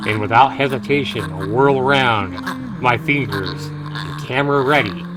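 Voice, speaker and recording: A man live-streaming a visual novel, reading it aloud in a dramatic, deliberate way with casual commentary. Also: mid-range voice, over music, rough recording. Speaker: game streamer.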